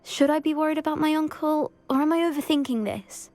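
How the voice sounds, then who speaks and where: Fretful schoolgirl played by a woman: somewhat high pitch, earnest and well-spoken, music underneath, video game role. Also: steady tone, brisk, darkish tone, natural voice. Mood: worried. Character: anxious, earnest teenage schoolgirl fretting over family. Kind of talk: video game cutscene acting.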